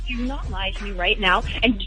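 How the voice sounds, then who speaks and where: A woman's voice: mid-range voice, normal speed, sharp tone, breathy edge, soft background music. A woman calling in to a radio show, speaking in an upset, emotional way. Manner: emotional, indignant. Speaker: caller, wife.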